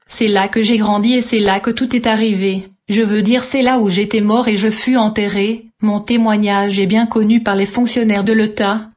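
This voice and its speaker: A woman narrator reading a scripted testimony aloud in a measured, formal way. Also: mid-range voice, darkish tone. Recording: dull, lo-fi playback.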